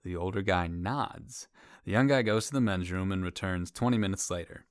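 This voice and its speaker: An actor playing a wry, crude bartender who talks in a folksy, colloquial way and tells jokes in an audio drama. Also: male voice, deep voice, normal speed, dry recording, studio quality, lightly acted. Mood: sly storytelling.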